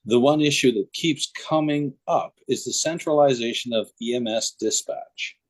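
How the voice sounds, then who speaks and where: A man's voice: mid-range voice, moderate pace. A man hosting a podcast, introducing the discussion and interviewing a guest. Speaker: podcast host.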